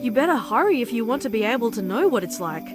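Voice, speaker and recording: A woman's voice: on the high side, big pitch swings, fast, over music. A woman playing a chatty, teasing suburban mom and old school friend in a visual novel, with a gossipy, casual delivery.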